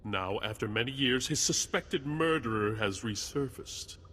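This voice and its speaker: A man's voice: medium pitch, expressive, measured pace, bright, forceful tone. A man playing a proud, stiffly formal captain of a royal guard in a video game. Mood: grave. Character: proud, curt male captain of a queen's guard. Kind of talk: video game cutscene acting. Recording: long hall reverb.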